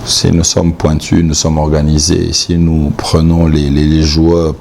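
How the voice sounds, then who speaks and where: A Congolese-accented man speaks in a very low, gravelly voice with a dark, mellow tone. He is blunt and emphatic as an interviewee, hammering his points by repetition. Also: completely flat delivery, measured pace, slight background noise. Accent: Congolese French. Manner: blunt, outspoken, emphatic, repeats points for stress. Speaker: sports commentator being interviewed. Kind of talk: opinionated interview talk.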